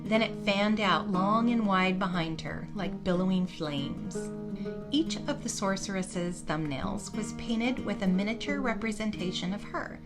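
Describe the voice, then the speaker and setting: A woman's voice: mid-range voice, lively intonation, unhurried. A woman reading a scripted fantasy story as its narrator, voicing dialogue along the way. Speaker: narrator of a fantasy fiction podcast. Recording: music behind the voice. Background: instrumental music.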